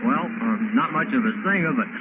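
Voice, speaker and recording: A man's voice: mid-range voice, lively intonation, brisk, narrow, tinny audio, noisy background. An American man, a soldier and songwriter, chatting as a guest in a 1940s radio interview recorded on a wire recorder. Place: enlisted men's club at a party.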